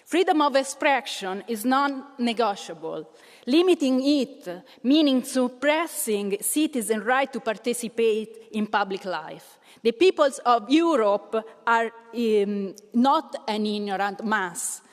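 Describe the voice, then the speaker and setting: A woman's voice: a little high, lively intonation, slow, in a large hall. A woman interpreting a political speech in a large chamber, with frequent "uh" fillers. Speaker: simultaneous interpreter in a parliamentary debate.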